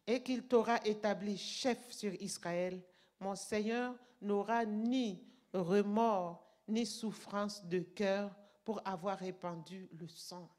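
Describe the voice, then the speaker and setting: Woman preacher, non-native French speaker, slow deliberate delivery, emphatic sermon. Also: mid-range voice. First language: not French. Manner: slow, emphatic, rising to loud passages. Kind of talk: sermon with Bible reading.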